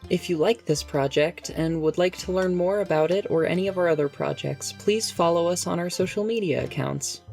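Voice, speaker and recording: A speaker reading podcast credits in a clear, even, scripted delivery. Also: mid-range voice, moderate pace, hardly any pauses, faint music behind. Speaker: credits announcer.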